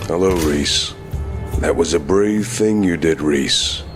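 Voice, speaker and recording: A stoic masked crime fighter , acted by a man, talks in a very deep voice, terse and weary yet resolute. The dramatic comic-dub lines sit over clear background music. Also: takes it slowly. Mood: weary, gentle. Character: stoic, resolute masked male vigilante hero.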